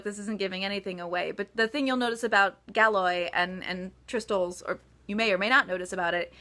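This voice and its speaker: Female author for casual live Q&A: bright, forward voice at medium pitch, chatty and emphatic. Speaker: author answering reader questions. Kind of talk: casual livestream Q&A chat.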